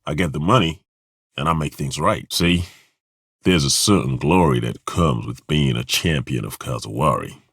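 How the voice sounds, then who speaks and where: An actor playing a gruff, blunt male ex-temple guard in a game, speaking with a very deep, commanding voice and a wry, casual way of swearing. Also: moderate pace, a bit bright, no echo, clean studio sound, heavily acted. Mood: wistful. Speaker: companion in a game. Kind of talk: game voice acting. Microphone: studio microphone.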